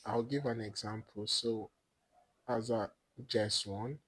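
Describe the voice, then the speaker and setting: A man's voice: fairly low pitch, fairly even intonation, measured pace, fairly bright tone. A young Nigerian man with an Igbo accent, a podcast guest telling stories in rambling casual chat and laughing often.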